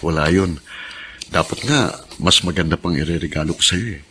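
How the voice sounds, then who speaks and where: A tender husband in a radio drama. His deep voice has a bright edge, affectionate and reassuring, with faint noise behind. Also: normal speed. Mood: tender teasing. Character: tender, devoted middle-aged husband, gently teasing. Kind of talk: Filipino radio drama acting.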